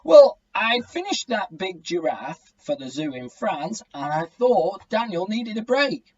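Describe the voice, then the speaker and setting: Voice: mid-range voice, very animated, a little slow, darkish tone, slightly rough, far from the mic, highs cut off, pitched above the performer's own voice. A reader doing a jolly, joking dad. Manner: boisterous, jokey. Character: jolly, joking father, big-hearted clown.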